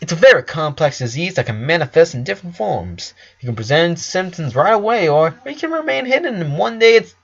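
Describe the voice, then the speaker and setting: A man's voice: a little high, sing-song, a little fast, vocal fry, hardly any pauses, a bit bright, husky, dull audio, voice pushed up, full character voice. A man voicing a blunt, glib doctor in a story reading, lecturing in a rambling, sarcastic way. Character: glib, blunt, morbidly curious male doctor.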